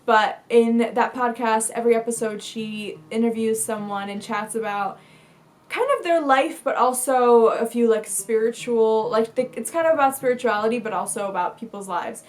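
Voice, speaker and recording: A woman's voice: fairly high pitch, normal speed. A young woman from Bulgaria, a lifestyle vlogger talking casually to camera, chatty, with lots of fillers and restarts.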